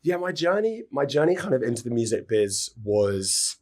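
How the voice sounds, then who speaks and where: A man in his thirties, a podcast guest, chatting in a relaxed, rambling way with a hoarse, scratchy voice. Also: medium pitch, moderate pace. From United Kingdom. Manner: chatty, rambling, repeats words. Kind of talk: casual interview talk.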